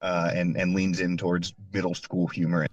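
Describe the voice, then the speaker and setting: Deep-voiced man in a dull news soundbite. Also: monotone, normal speed, dry recording. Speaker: interviewee in news piece.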